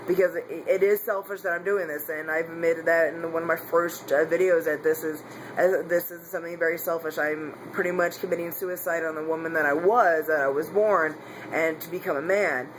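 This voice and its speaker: A man speaks in a candid, confessional vlog, his voice sitting a little higher than most men's. He rambles, doubles back and corrects himself mid-sentence. A faint background hum sits under his voice. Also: fairly even intonation, brisk. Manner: rambling, frequent restarts and self-corrections. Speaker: vlogger. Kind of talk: casual personal vlog.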